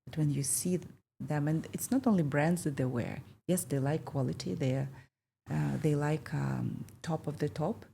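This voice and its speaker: A woman in her fifties talks in a low, gravelly voice with a mellow, dark tone. She speaks thoughtfully and reflectively, pausing often and filling the gaps with hesitations. A faint hiss of noise sits behind her. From Kazakhstan. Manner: thoughtful, with many pauses and fillers. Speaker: podcast host. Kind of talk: reflective conversational chat.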